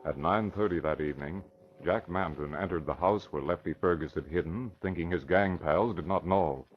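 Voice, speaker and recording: A man's voice: sits low, moderate pace. A man narrating a 1940s radio serial in a dramatic, declamatory style. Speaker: announcer and narrator. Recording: muffled old radio recording. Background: music.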